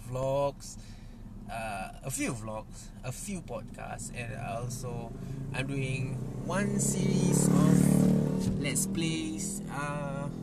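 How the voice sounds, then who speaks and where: A young Malaysian man, a native Malay speaker, hosting a casual solo podcast in English, halting with many fillers and restarts. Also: mid-range voice. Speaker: podcast host.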